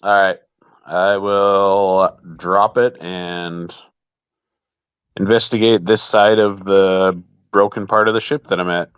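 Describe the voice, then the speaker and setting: Bright-voiced man playing a tabletop game. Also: sits low, flat delivery, slow pace, clean tone, no echo. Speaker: player.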